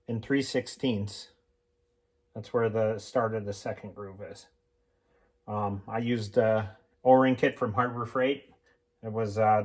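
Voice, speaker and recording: A man explaining homemade tools in a casual, unscripted how-to style, informal and halting as he thinks aloud. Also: on the low side. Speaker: hobbyist mechanic presenting a homemade tool demonstration.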